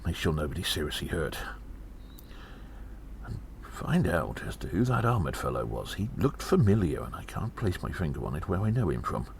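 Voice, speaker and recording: A man playing a weary gnome investigator in an audio drama, musing haltingly and deliberately with breathy sighs. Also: sits low, big pitch swings, full character voice. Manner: slow, deliberate, halting, pondering. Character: weary gnome investigator reporting on a spy conspiracy. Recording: faint background noise.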